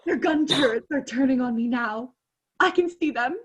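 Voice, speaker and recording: Radio play role: female war reporter played by a teenage woman, high voice, florid, grandiose, theatrical. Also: normal speed, slightly rough, highs cut off. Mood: horrified, tearful. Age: late teens. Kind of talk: 1930s radio play acting. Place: bedroom on a video call.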